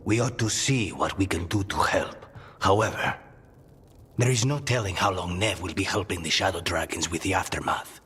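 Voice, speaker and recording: A man's voice: low voice, moderate pace, a bit bright, slightly rough, long reverb tail, exaggerated voice. A man playing a grave, dutiful assassin in a fantasy video game. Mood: grave, terse. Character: grave, dutiful male demon-possessed assassin.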